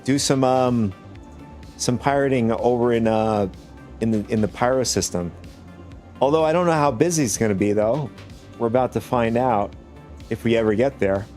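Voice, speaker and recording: A man with a raspy voice from a cold, chatting casually with viewers while gaming on a stream, rambling with many restarts. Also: medium pitch, moderate pace, pauses often, darkish tone, soft background music.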